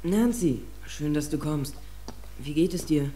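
A man's voice: on the high side, measured pace, mellow, lightly acted. A male actor playing a distraught, defensive teenage boy in a German audio drama, speaking with anguish and agitation. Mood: troubled. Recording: faint hum and noise, cassette audio drama.

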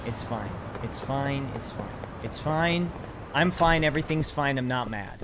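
A hoarse man in his thirties, casually commenting on a video game as he plays it, reading the subtitles aloud and swearing offhand. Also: medium pitch, expressive, measured pace, background noise. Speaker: streamer. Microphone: headset or desk mic, webcam.

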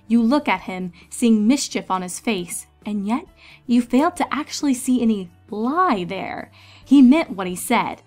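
A woman narrator reading a story aloud in a scripted, dramatic storytelling style. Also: a little high.

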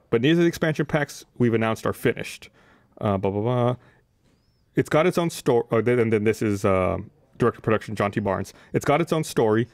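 A man's voice: mid-range voice, darkish tone, no room sound. A man in his thirties casually talking through gaming news as a podcast host, close on a studio microphone. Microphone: desk studio microphone, close.

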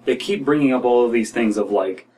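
A man's voice: mid-range voice, brisk, darkish tone. A young American man hosting a podcast with casual, rambling commentary in a room at home. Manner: rambling, peppered with "like" and "you know". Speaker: podcast host commenting on stories. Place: small room at home, indoors. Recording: distant mic with room noise.

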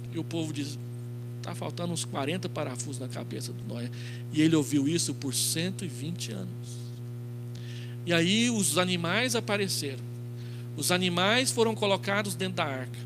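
A middle-aged Brazilian pastor preaches in a high male voice. He tells the story through quoted dialogue and rhetorical questions. The recording comes from a handheld microphone in a church, with clearly audible noise and mains hum. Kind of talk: expository sermon. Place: church stage, indoors.

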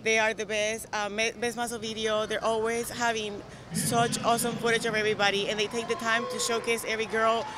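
A woman's voice: fairly high pitch, brisk, noisy background. A young woman from Colombia, a native Spanish speaker with a Colombian accent, chatting casually and upbeat in an interview. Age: young adult. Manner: upbeat, energetic. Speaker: bikini competitor interviewee. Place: large exhibition hall, indoors. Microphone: handheld interview microphone. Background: music.

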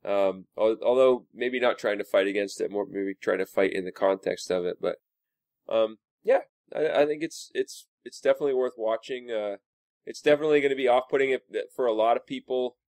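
A man's voice: medium pitch, fairly quick, a little mellow, dry recording. A man giving his opinion as a guest in a casual panel discussion, restarting and repeating phrases.

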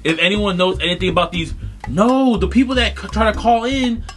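A young American man reacting to a video in casual slang, excitable and animated, sometimes reading text aloud. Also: sits high, a little fast, a little hiss or hum. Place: indoor video set with green screen. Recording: music behind the voice. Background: music.

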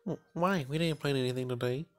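A man's voice: mid-range voice, a little fast, pitched above the performer's own voice, natural voice. A man voicing a sensitive, whiny young girl from an anime visual novel. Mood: puzzled.

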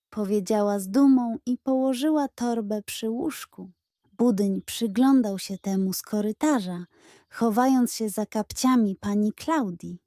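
Slow, soothing woman storyteller. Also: mid-range voice, no echo, clean studio sound. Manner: slow, gentle, expressive. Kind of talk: bedtime story narration.

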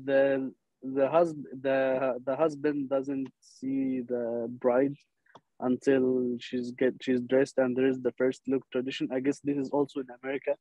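A man in his thirties from Egypt, a film director with an Egyptian accent and Arabic as first language, talking in a halting way with restarts over a video call. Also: medium pitch, level tone, dry recording, dull audio. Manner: halting, restarts words, soft-spoken.

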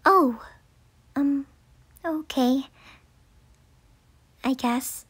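A woman's voice: high voice, a bit bright, exaggerated voice. A woman voicing a timid, sweet young female cartoon pony who talks hesitantly, with music underneath. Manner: hesitant, halting. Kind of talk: cartoon comic dub.

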